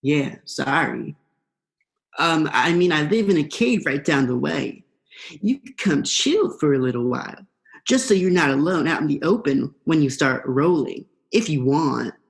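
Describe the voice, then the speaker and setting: Woman acting as male eel, low for a woman, very animated intonation, slangy stoner drawl, sardonic, radio play. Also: unhurried, vocal fry, exaggerated voice. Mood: laid-back, slangy. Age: young adult.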